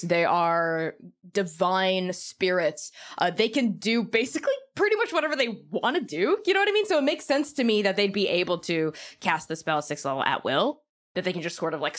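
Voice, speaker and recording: A woman talking casually and enthusiastically as a podcast guest and game master, informal and animated. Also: on the low side.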